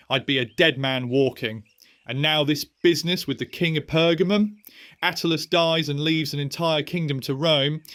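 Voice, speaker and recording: A man's voice: fairly high pitch, fairly bright tone, dry recording, clean studio sound, close to an everyday voice. An actor in a historical audio drama playing an agitated, argumentative young Roman nobleman who speaks earnestly and urgently. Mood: urgent, fearful.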